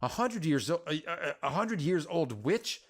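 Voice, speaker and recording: A man's voice: fairly high pitch, very animated, a little slow. A man voicing a troubled, defiant warrior woman in a story, earnest and questioning in an agitated manner. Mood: puzzled. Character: Arya, troubled defiant young female warrior burdened by war.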